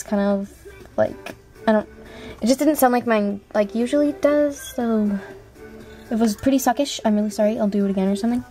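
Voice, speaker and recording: A woman with a high, very mellow voice chats casually to her viewers. She is apologetic and puts herself down as an amateur auditioner. Faint music plays behind her. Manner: self-deprecating, apologetic. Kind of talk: casual chat to online viewers.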